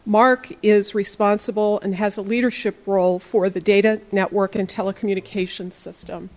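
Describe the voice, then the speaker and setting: A woman's voice: mid-range voice, steady tone, moderate pace, a little mellow. A woman formally introducing the speakers at a conference session, reading prepared bios.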